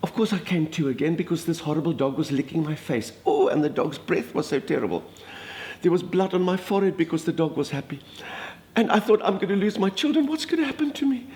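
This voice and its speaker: A man's voice: a little high, lively intonation, fast, darkish tone, natural voice. A man doing a gushing older Afrikaans lady. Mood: gossipy. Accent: Afrikaans South African. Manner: gushing, dramatic, exclamatory. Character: chatty older Afrikaner woman, minister's wife, theatrical. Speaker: comedic monologist. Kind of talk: comic storytelling monologue.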